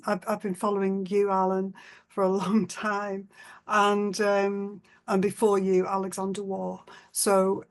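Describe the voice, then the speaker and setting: Hesitant woman speaking in a flat tone. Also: medium pitch. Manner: hesitant, lots of fillers, laughs mid-sentence. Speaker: audience member on a video call. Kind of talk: casual chat. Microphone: video call.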